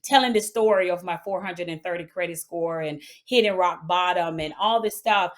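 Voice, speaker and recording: A middle-aged woman with a Jamaican accent, a guest entrepreneur chatting casually in an interview and telling her story with animated energy. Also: on the low side, moderate pace. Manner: animated storytelling with bursts of laughter.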